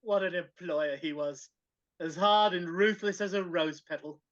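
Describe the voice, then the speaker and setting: A woman's voice: fairly low pitch, measured pace, close to an everyday voice. A woman reading the part of an old miser looking back on his youth, in a live script reading. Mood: nostalgic, excited. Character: Scrooge, old miser reliving youthful memories. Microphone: video call. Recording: dull lo-fi video call.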